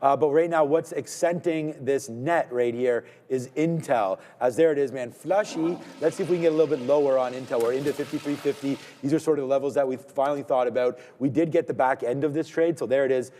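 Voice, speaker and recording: Energetic Canadian man hosting a trading stream. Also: mid-range voice, a little fast, hardly any pauses, big-room sound, slight background noise. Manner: energetic, rambling, repeats words, shouts out viewers. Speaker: live trading stream host. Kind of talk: casual live-stream banter.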